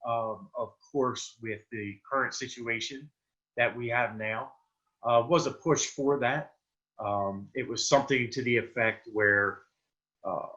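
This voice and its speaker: A man's voice: medium pitch, pushed and bright. A man from Delaware, a political candidate, giving an earnest, plain-spoken campaign talk on a video call with many fillers. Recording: dull lo-fi video call audio.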